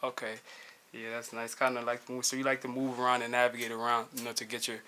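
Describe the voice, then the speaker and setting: A man interviewing an artist at a lounge venue, speaking casually and haltingly, with many restarts. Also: mid-range voice, monotone, sharp tone, slight background noise.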